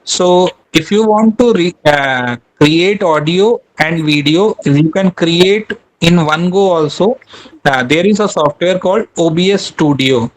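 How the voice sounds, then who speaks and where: A man's voice: a little high, unhurried, poor audio. Hesitant man giving a talk. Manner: hesitant, many fillers and restarts. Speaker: guest speaker. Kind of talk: informal webinar talk.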